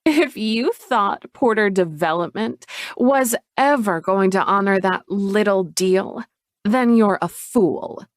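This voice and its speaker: A woman's voice: medium pitch, slow pace, no room sound, studio quality, lightly acted. A woman reading a novel aloud, voicing a scornful, defiant adult sister in a raised, sneering voice. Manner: sneering, dismissive.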